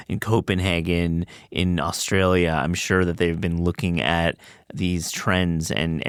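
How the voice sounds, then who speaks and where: Man, podcast host, deep voice, dry close recording, casual, measured, thinking aloud. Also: clear, resonant tone, no echo.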